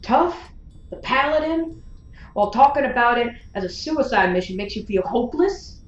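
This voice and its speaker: A woman playing a sharp-tongued, sardonic gunslinger in a science fiction audio drama, needling and wry. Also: on the high side, expressive, moderate pace, echoey room, dull audio, a little hiss or hum, close to an everyday voice. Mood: needling, challenging. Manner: needling, wry, rhetorical questioning.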